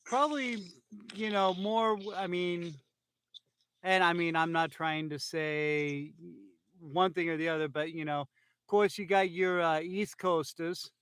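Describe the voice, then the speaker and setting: A man's voice: a little high, fairly even intonation, measured pace, a bit bright, smooth, no room sound. A man from California hosting a casual podcast, rambling with lots of fillers and restarts, a bit loopy on pain pills, talking into an iPhone. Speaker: podcast host. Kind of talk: casual chat.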